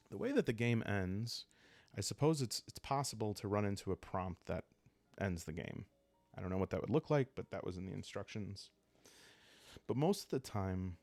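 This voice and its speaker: Low, creaky-voiced man talking calmly. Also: dry recording. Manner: calm, unhurried. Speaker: host of a solo roleplaying playthrough. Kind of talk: calm ASMR game explainer.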